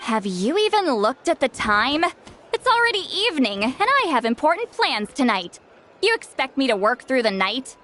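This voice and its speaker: A woman's voice: high-pitched, very animated, normal speed, fairly bright tone, slight background noise. Sarcastic ancient shaman girl. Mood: sardonic. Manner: sarcastic, teasing, dismissive. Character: sharp-tongued centuries-old female shaman, haughty and lazy. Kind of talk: game cutscene voice acting.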